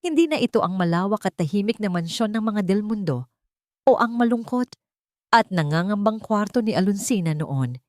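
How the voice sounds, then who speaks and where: A woman narrating a scripted Tagalog romance story in a measured, gently emotive reading. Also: mid-range voice, expressive, no room sound, studio quality.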